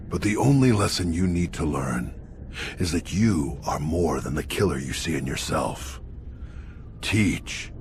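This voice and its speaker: An actor playing a wise, patient old Jedi master in a video game, speaking in a measured, solemn, teacherly way with a gravelly voice. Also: male voice, deep voice, noisy background. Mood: patient, instructive. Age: older.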